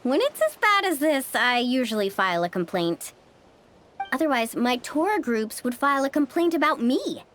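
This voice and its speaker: A woman's voice: high voice, very animated, normal speed, a little hiss or hum. A woman voicing a practical young tour guide in a video game, with a matter-of-fact, friendly manner. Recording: game audio with soft music behind.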